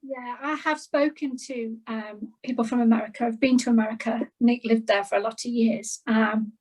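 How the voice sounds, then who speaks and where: Woman, indie author guest, self-deprecating, hesitant, somewhat high voice. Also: steady tone, normal speed, a little mellow, poor audio. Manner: self-deprecating, hesitant restarts, light laughs. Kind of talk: casual conversational interview.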